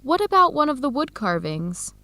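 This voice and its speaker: Eager, high-voiced young student. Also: female voice, big pitch swings, normal speed, pushed and bright, no echo, exaggerated voice. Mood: earnest, considerate. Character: eager young Ethiopian American woman student, friendly and considerate. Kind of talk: scripted educational radio drama dialogue.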